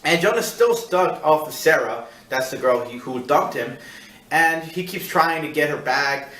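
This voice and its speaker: A man hosting a casual film review, talking in a rambling, halting way and repeating himself as he searches for words. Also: on the high side, animated, fairly bright tone. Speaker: film review show host. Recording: echoey room, distant mic.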